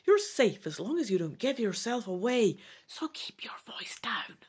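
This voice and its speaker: A stern female elf, centuries old, tutor and bodyguard in a fantasy storybook, acted out by a woman reader. Her voice sits high and moves around a lot, with an admonishing, teasing edge. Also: very animated, a little slow, husky, full character voice. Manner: whispering sharply at times, admonishing. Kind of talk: dramatic gamebook reading.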